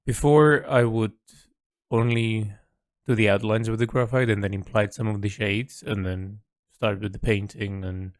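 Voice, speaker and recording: Low-voiced man musing in a dry audio diary. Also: fairly even intonation, no echo. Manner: halting, thinking aloud, repeating words. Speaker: artist keeping an audio log. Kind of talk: reflective unscripted audio diary.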